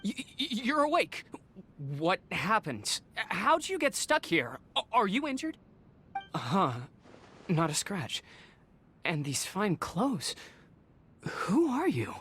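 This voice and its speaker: An actor playing an earnest, kindly, excitable young male warrior deputy in a video game, expressive and exclaiming. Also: medium pitch, takes it slowly, long pauses, slight background noise, full character voice. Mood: concerned. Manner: expressive, exclaiming, stammers when startled. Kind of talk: English game voice acting.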